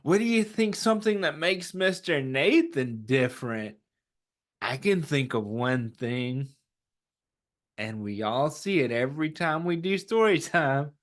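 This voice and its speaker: Animated, slow-paced man reading to kids. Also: fairly high pitch. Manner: animated, encouraging, asks questions and answers them himself. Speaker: children's story time host. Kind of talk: read-aloud and chat to young children.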